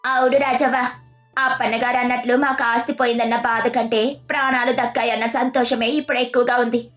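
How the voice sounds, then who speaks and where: A humbled, grateful village woman acted by a woman for an animated moral tale. Her high voice is earnest and emotive, with faint music behind. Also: a little fast, close to an everyday voice. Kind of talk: animated moral story dialogue.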